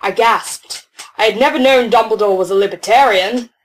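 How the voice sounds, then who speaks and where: A woman's voice: on the high side, normal speed, poor audio. A woman narrating a scripted first-person story.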